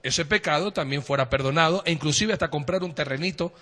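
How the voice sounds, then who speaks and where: Emphatic higher-voiced man, reverberant. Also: fast, bright, forceful tone. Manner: emphatic, posing questions. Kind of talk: casual discussion. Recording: long reverb.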